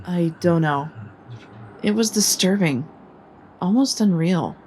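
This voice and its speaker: A woman's voice: fairly low pitch, takes it slowly, a little mellow, a little hiss or hum. An actress playing a protective, troubled female soldier in an audio drama.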